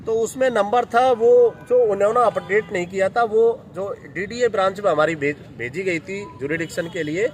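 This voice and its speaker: A man's voice: high voice, normal speed, hardly any pauses. A man, a lawyer, briefing reporters in an earnest way, full of legal terms. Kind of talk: press interview.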